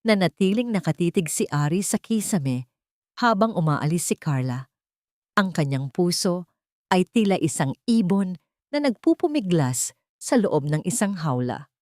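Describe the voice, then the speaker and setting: A woman's voice: fairly low pitch, expressive, a little slow, darkish tone, dry recording, studio quality. A woman narrator reading a scripted, dramatic audio drama story.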